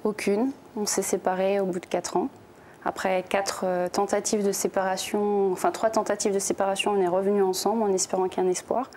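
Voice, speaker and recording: Warm-voiced young woman telling her story. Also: medium pitch, little pitch movement, brisk, slight background noise. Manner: plain, hesitant telling with many "euh". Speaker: talk show guest. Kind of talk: recounting personal story on TV. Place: television studio.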